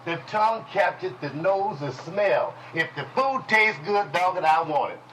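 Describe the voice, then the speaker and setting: A man teaching a congregation in a preaching style, folksy and call-and-response, with speech, dropping at times to low murmurs. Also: animated, normal speed, fairly bright tone, slight background noise, rough recording. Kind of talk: preaching Bible lesson. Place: congregation hall.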